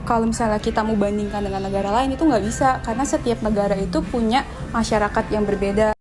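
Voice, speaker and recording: A woman's voice: medium pitch, quick pace, over music. A woman in her twenties who works as a makeup artist, answering interview questions in a chatty, casual way. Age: 20s.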